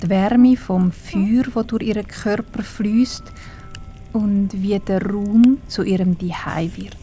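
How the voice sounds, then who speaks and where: A Swiss German woman tells a children's bedtime story in a hushed, breathy voice. Her delivery is slow and soothing, with long pauses between phrases. The tone is warm and mellow, over a low rumbling background noise. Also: fairly even intonation. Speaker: storyteller and narrator.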